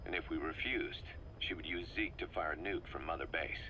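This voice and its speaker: A man's voice: deep voice, fairly quick, pushed and bright, slight background noise, low-quality recording. An actor playing a bitter middle-aged mercenary commander in a video game, briefing his boss in a terse, intense way. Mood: grim, bitter. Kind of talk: dramatic video game acting, expository briefing.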